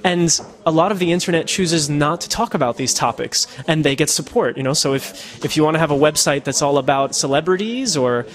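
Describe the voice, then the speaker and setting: Thoughtful podcaster man, slightly high voice. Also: brisk, hardly any pauses. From United States. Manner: casual, thoughtful, frequent fillers and restarts. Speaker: podcaster and citizen journalist. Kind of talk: interview answers. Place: Netherlands.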